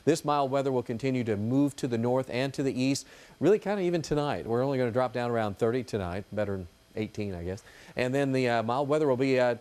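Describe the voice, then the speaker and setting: A man's voice: mid-range voice, quick pace, darkish tone, smooth. A man giving an ad-libbed TV weather forecast in a chatty, folksy, informal way.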